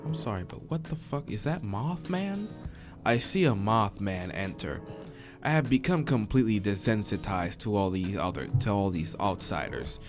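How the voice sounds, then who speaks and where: Guy with a lowish voice reading out a visual novel, casual, trips on words, soft music under it. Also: animated, normal speed. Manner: informal, stumbles over the text and restarts. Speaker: let's player reading a visual novel aloud. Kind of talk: story narration with casual asides. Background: game soundtrack music.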